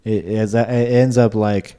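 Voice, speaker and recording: A man's voice: lowish voice, fairly even intonation, normal speed, warm tone, smooth. A man co-hosting a podcast, chatting in a casual, informal and laid-back way. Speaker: podcast co-host. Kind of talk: casual chat.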